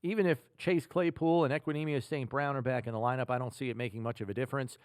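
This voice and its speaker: A man's voice: medium pitch, steady tone, quick pace, dry recording, studio quality. A man hosting a sports podcast, talking and quizzing with an emphatic delivery. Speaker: podcast host. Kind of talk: sports talk and trivia quiz.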